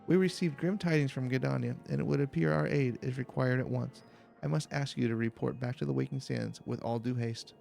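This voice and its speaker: A man's voice: mid-range voice, fairly even intonation, brisk, creaky, a little mellow, faint music behind. A man voicing a polite, earnest fantasy game messenger with a formal, apologetic manner. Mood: urgent, apologetic. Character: polite, earnest messenger bearing urgent news.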